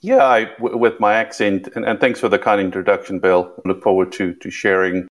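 A middle-aged man with a South African accent, slightly Americanized with a British touch, speaking as a podcast guest in a measured way and often repeating words as he starts a phrase. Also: fairly low pitch, steady tone. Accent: South African, somewhat Americanized with a British touch. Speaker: guest, tech executive. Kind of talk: podcast interview.

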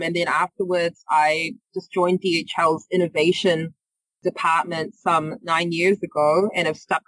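A woman's voice: fairly low pitch, fairly bright tone, dry recording, rough recording. A woman with a New Zealand accent, a podcast guest who answers questions fluently but with many fillers and restarts. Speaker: guest, innovation leader.

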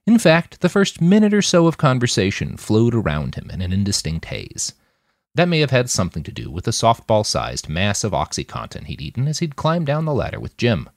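A man reading a scripted story aloud as an expressive audiobook-style narrator. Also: medium pitch, a little fast, dry recording, clean studio sound. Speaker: author reading own fiction podcast.

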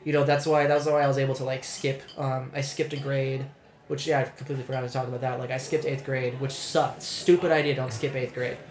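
Picture a young man hosting a casual podcast. He rambles and restarts himself a lot and swears freely. His mid-pitched voice carries a noticeable room echo. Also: level tone, a little fast, slight background noise. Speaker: podcast host.

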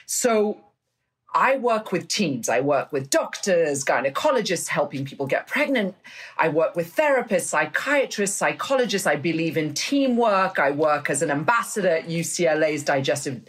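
A woman's voice: deep voice, lively intonation, moderate pace, slightly rough. A woman from Greece with a Greek accent, a guest osteopath speaking self-assuredly in an interview. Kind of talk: casual interview.

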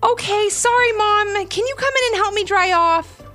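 A woman's voice: extremely high pitch, normal speed, bright, forceful tone, soft background music, pitched above the performer's own voice. A woman voicing a young, playful and cheerful girl in a children's story, eager and full of exclamation.

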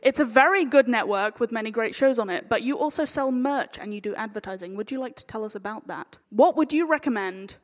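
A woman's voice: on the high side, brisk, few pauses. A woman chairing a live panel informally, with a jokey, cheeky manner. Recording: echoey hall with long reverb.

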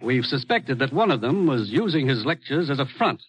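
An actor playing a brisk, authoritative spy agency commissioner in a 1950s radio drama, firm and clipped. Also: male voice, mid-range voice, big pitch swings, slightly rough, no room sound, poor audio. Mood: businesslike. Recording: vintage radio recording, slight noise.

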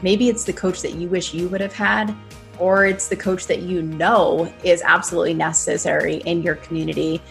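A woman's voice: fairly low pitch, level tone, moderate pace, gravelly, soft background music. Encouraging woman podcast host. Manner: warm, encouraging, uses "like" a lot. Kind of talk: casual conversation and scripted outro.

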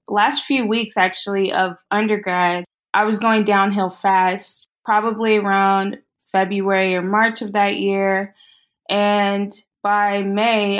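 Flat-voiced, matter-of-fact woman guest. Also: medium pitch. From Texas. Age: 30s. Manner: calm, measured, matter-of-fact. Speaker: podcast guest. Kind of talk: interview storytelling.

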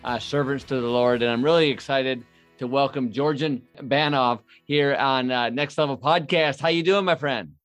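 A man's voice: mid-range voice, a bit bright. A man hosting a podcast from a studio, talking in a folksy, hyped-up way full of "man" and fillers. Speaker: podcast host. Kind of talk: casual, enthusiastic interview talk.

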